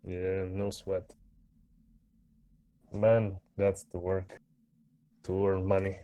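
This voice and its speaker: Male player, laconic, unhurried, low voice, casual roleplay chat. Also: completely flat delivery, mellow, slight background noise. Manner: laconic, short replies. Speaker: tabletop game player.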